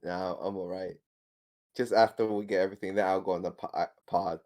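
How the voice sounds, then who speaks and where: A man improvising casually in a tabletop roleplaying game, speaking haltingly and repeating words. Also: sits low, fairly even intonation, a little mellow.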